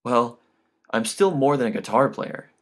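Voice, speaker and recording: A man reading an audiobook, voicing a male guitarist who is polite, nervous and insistent and talks loudly. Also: mid-range voice, expressive, unhurried. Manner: loud, urgent, cautioning. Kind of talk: audiobook character dialogue.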